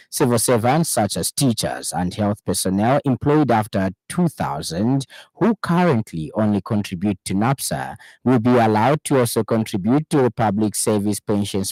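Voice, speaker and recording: Low-voiced Zambian male news reader. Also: darkish tone, no echo, low-quality recording. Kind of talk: scripted news bulletin reading. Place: radio studio.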